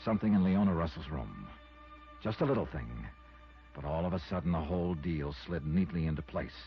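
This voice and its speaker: A man's voice: low-pitched, fairly quick. An American man playing a shrewd, world-weary insurance investigator in a 1950s radio detective drama, with clipped hard-boiled narration. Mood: brooding, intent. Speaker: lead actor and narrator. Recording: muffled old radio recording with music.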